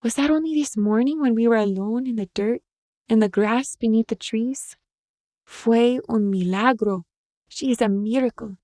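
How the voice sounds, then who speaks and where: A woman narrator performs a young mother from a short story, tender, devout and sorrowful. Her gentle speech slips between English and Spanish, mellow in tone and recorded very dry. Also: on the high side, moderate pace, dry recording, lightly acted. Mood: wondering. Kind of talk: short-story audio reading.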